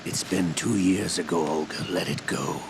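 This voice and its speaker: Gravelly, low-voiced composed older villain. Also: male voice, normal speed. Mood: defensive. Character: composed older villainous intelligence officer, quietly evasive. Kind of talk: video game cutscene acting. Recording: noisy vehicle-like ambience behind.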